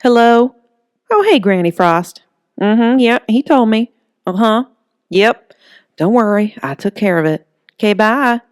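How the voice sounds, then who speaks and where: A woman's voice: on the high side, expressive, a little slow, long pauses, clear, resonant tone, no room sound, clean studio sound, voice pushed up. A woman voicing Holly, a brassy, flirty, tough woman who loves pink, with a sassy drawl. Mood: brisk. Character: brassy flirty middle-aged woman, pink-loving trailer owner, tough.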